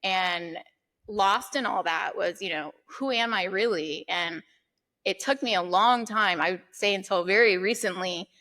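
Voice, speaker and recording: Bright-voiced woman guest, candid. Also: mid-range voice, a little slow. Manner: candid, laughs easily. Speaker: podcast guest, author. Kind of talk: casual interview chat.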